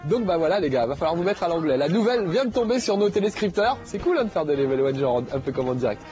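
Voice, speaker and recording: A man's voice: fairly high pitch, big pitch swings, fast, darkish tone, highs cut off, faint music behind. A man hosting a game show, talking casually over gameplay with a slight nasal head cold and lots of fillers. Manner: chatty, jokey, many fillers.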